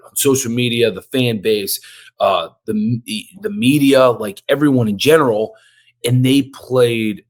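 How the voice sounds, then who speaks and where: A man co-hosting a sports podcast, hoarse from yelling, talking in an excited, emphatic way. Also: on the low side. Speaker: podcast co-host.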